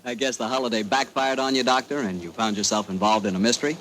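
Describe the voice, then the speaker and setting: Male 1940s radio announcer, medium pitch. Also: quick pace, a bit bright. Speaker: announcer and interviewer. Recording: noisy vintage 1940s radio recording.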